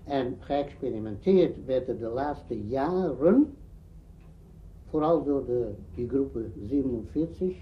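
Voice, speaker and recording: An older man, a radio drama veteran, reminiscing in an interview in emphatic, halting phrases. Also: mid-range voice, expressive. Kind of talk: spoken reminiscence. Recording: dull archive recording, faint noise.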